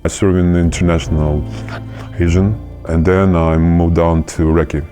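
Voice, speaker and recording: A man, a soldier, speaking haltingly with many fillers in an interview. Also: low-pitched, flat delivery, dark tone, faint music behind. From Ukraine, raised in Canada. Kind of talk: interview testimony.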